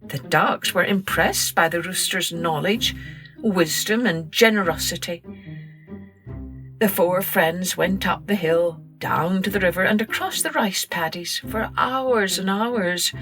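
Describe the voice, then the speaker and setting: A woman host narrating a children's story, gentle, expressive and unhurried. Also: lowish voice.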